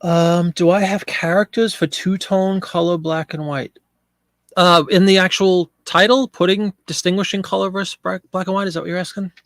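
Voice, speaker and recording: Brief: middle-aged male host with a cold, gravelly and congested, rambling live-stream chat, dry close sound. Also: high voice, steady tone, normal speed, a bit bright, no echo. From Pennsylvania. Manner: chatty, rambling, answers viewer chat. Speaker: live-stream eBay seller.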